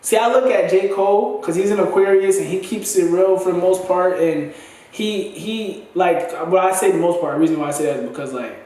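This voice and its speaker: A man's voice: high voice, fairly quick, dark tone. A congested man with a dry throat, rambling in a loose, slangy way as he talks to his vlog viewers. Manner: loose, slangy, restarts often. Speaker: vlogger. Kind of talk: casual rambling monologue. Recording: very echoey room, mic at a distance.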